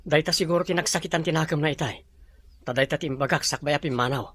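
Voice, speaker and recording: A man's voice: on the high side, quick pace, a bit bright, husky. A man playing a stubborn businessman's son who avoids marriage, in an Ilocano drama. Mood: casual, defiant. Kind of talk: Ilocano radio-style drama acting.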